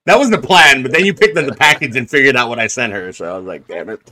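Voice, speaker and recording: Bright-voiced young man ribbing friends. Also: mid-range voice, quick pace. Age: 20s. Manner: joking, teasing, animated storyteller. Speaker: podcast co-host. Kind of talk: casual group chat.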